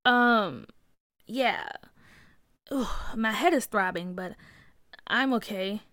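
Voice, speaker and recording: Young boy played by a woman, children's story: high, bright, earnest, plaintive. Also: expressive, a little slow, no echo, studio quality. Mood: weak, dazed. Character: eager young boy, daring but easily shaken. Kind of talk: acted children's story dialogue.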